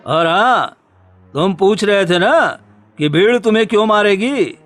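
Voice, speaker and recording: A man's voice: sits high, expressive, a bit bright, no room sound, faint music behind. A man voicing a smug, scheming blackmailing neighbour in a cartoon story, with a taunting, theatrical manner. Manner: taunting, drawn-out, theatrical menace. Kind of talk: Hindi cartoon story dubbing.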